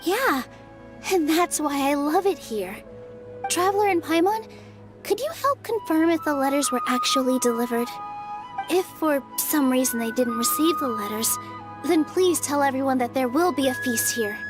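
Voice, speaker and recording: Brief: a modest young dancer, high sweet voice, game music underneath. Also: female voice, lively intonation, normal speed. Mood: friendly. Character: gentle young woman dancer, warm and modest. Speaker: performer hosting a feast. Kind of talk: video game voice acting. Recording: game audio with music behind.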